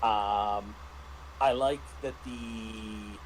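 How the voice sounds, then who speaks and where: A man who works as a game master, giving a casual roundtable review in a thoughtful, halting way. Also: lowish voice, fairly even intonation, slight background noise, poor audio.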